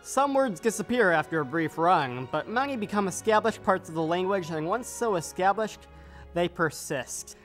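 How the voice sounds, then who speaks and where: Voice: mid-range voice, expressive, normal speed, fairly bright tone, soft background music. A readers theater performer reciting quoted prose from a script.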